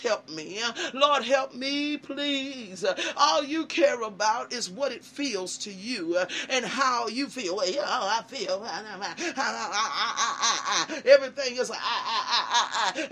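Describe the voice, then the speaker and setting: A man preaching and praying fervently, pleading aloud, breaking his phrases with drawn-out "uh" and repeated cries to the Lord. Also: very high voice, bright, forceful tone. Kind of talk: fervent spoken prayer and sermon.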